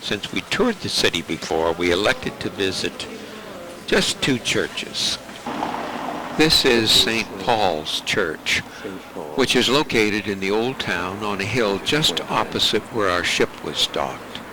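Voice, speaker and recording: A man narrating a home travel video in a measured, informative way. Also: lowish voice, sing-song, background noise.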